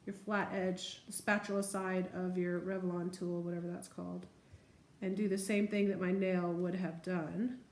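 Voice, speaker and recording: A woman chatting informally as she narrates a hands-on nail tutorial step by step. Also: medium pitch. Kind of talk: casual hands-on demonstration chat. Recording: echoey room with faint background noise.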